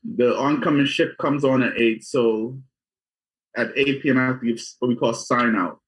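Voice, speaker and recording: A man's voice: medium pitch, steady tone, moderate pace, husky. A man in his forties from Guyana, a doctor telling his life story in a plain, matter-of-fact way as an interview guest. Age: 40s. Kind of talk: casual interview answers. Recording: dull lo-fi audio.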